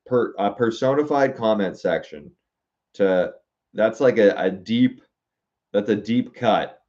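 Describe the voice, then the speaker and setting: A man's voice: on the low side, a little mellow, dull audio. A young man hosting a casual livestream, reading out viewer suggestions and thinking aloud with fillers and restarts. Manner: musing aloud, reading comments, halting with fillers.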